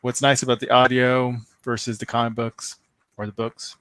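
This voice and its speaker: A man's voice: mid-range voice, flat delivery, moderate pace, a bit bright, clear, resonant tone, dry recording. A man offering casual opinions as a panelist in a fan discussion.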